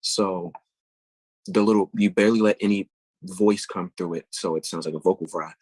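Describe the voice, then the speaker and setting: A man's voice: sits low, steady tone, moderate pace, darkish tone, slightly rough, no room sound. A man, a singer answering interview questions and explaining vocal technique thoughtfully and at length. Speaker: guest singer.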